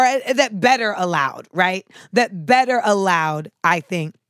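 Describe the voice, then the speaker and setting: A woman talking casually to her viewers in a sharp, clipped way, with casual swearing. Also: medium pitch, no echo, clean studio sound. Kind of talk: casual monologue to camera.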